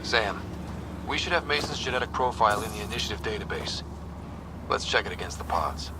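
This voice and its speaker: An actor playing a young human space pathfinder in a video game, a steady and determined leader. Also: male voice, on the low side, fairly quick, heavy background noise, natural voice. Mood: focused. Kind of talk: video game cutscene acting.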